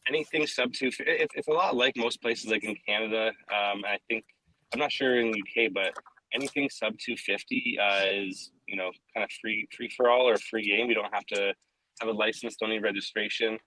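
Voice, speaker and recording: A man's voice: on the low side, brisk, sharp tone, no echo, poor audio. An easygoing man chatting casually about flying drones, with lots of fillers. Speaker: drone pilot guest. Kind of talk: casual chat.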